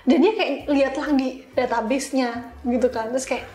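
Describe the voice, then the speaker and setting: Young Indonesian woman, very high voice, casual storytelling, English terms mixed in, echoey room. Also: brisk, dark tone. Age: young adult. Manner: informal, mixes English terms into speech. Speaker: interview guest, software engineer.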